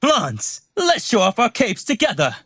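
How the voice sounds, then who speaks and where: A confident young male game champion with a British accent. The voice bounces widely in pitch. The manner is exuberant and showy. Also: wildly swooping pitch, measured pace, noisy background, full character voice. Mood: confident, upbeat. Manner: theatrical, exuberant. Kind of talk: video game voice lines.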